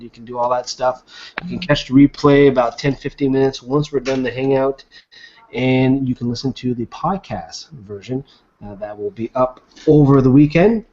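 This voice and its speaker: A man hosting a casual live web show from a video call, chatty and upbeat with frequent filler words. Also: medium pitch. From Nova Scotia, Canada. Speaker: host. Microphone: webcam video call. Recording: dull lo-fi video call audio.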